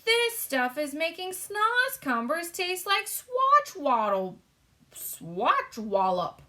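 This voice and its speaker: A woman's voice: very high voice, very animated, slow pace, pushed and bright, voice pushed up, exaggerated voice. A woman voicing a big, friendly male giant from a storybook, childlike and boisterous, exclaiming in garbled words. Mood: delighted.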